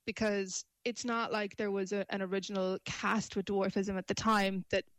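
A woman's voice: mid-range voice, little pitch movement, moderate pace, dry recording. A woman speaking as a panel guest, articulate and reflective, often framing her points as questions. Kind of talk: discussion, opinion.

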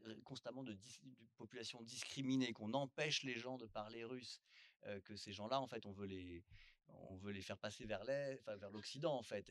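A man's voice: mid-range voice, dry recording. A man moderating a round-table discussion, loosely phrasing long questions with fillers.

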